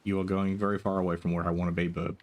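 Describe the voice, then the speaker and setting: A young man with a soft, soothing voice, chatting casually while streaming a game, rambling with lots of ums and casual swearing. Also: low voice, flat delivery, rapid-fire, dull audio. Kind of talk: casual gaming stream chat.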